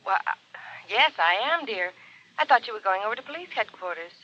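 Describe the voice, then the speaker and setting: A muffled telephone sound from an old radio play. a flustered, sweet-talking woman with a sharp, bright voice, speaking hesitantly and uneasily. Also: medium pitch, animated, brisk. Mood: startled, uneasy. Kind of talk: 1950s radio drama acting.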